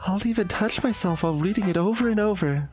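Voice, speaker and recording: Streamer acting out lovesick unhinged anime girl, raised pitch, breathy, intense, clingy, visual novel dialogue, faint music. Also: fast talker, darkish tone, lightly acted. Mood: feverish, urgent.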